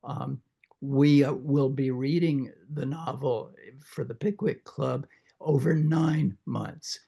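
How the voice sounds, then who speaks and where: An older man with a croaky voice after throat surgery, lecturing informally to a reading group and pausing often with many uhs and restarts. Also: mid-range voice, steady tone, dark tone. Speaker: literature professor leading a reading group.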